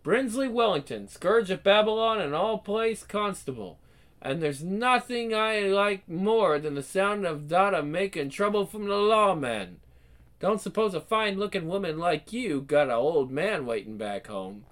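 A man's voice: high-pitched, animated, a little slow, a bit bright. A swaggering rebel rogue who is boastful and flirtatious. He sounds loose and self-assured. He plays as a cocky charmer in a radio drama.

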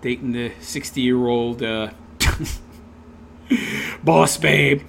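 A man's voice: mid-range voice, very slow, creaky, fairly bright tone, a little hiss or hum. A man reading a text aloud and tearing into it with sarcastic, scornful commentary. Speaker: commentary video host.

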